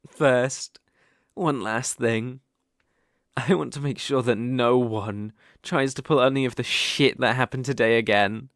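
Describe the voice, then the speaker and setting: A man's voice: a little high, a bit bright, no room sound, studio quality. A British man acting a dominant, teasing, possessive young bully in love in an intimate ASMR roleplay. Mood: possessive, assured. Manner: slow, teasing, commanding.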